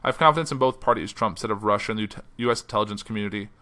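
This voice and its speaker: Fast-talking man hosting a news podcast. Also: lowish voice. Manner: reads quotes in a flat newsy voice, then chats loosely with fillers. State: losing his voice. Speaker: podcast host. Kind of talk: solo news podcast, reading articles aloud. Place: house.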